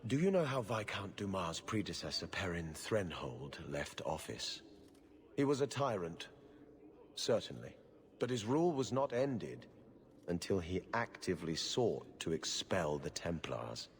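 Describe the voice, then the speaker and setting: A man's voice: low-pitched, very animated, a little slow, full character voice. A man playing a harried, sardonic city official in a video game, formal, dry and exasperated. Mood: grave, warning. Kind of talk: video game cutscene acting. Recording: long reverb of a hall.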